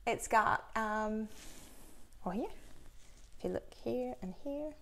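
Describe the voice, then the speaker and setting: A woman's voice: medium pitch, vocal fry. A young woman casually demonstrating chainsaw bar maintenance in a small indoor workshop, explaining practically as she handles the tools. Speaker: chainsaw instructor.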